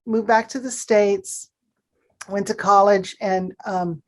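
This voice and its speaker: A woman raised in Pakistan, answering interview questions in a reflective, measured way with frequent fillers. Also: mid-range voice, fairly even intonation. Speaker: guest.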